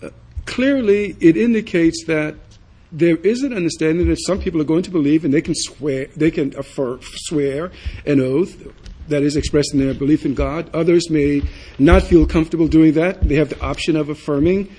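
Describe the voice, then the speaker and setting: A man, a student on a panel at a public forum, speaking haltingly and restarting words. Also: on the high side, warm tone, slightly rough.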